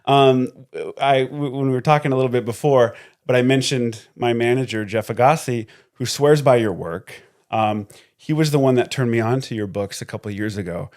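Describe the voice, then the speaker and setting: A man's voice: mid-range voice, moderate pace, a little mellow. A man hosting an interview podcast, speaking hesitantly with many fillers and restarts. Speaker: podcast host.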